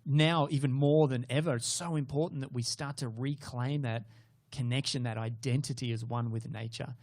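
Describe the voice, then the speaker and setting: A man's voice: mid-range voice, steady tone, moderate pace, a little mellow, no room sound. A young adult man, hosting a casual interview and explaining his views earnestly. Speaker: host.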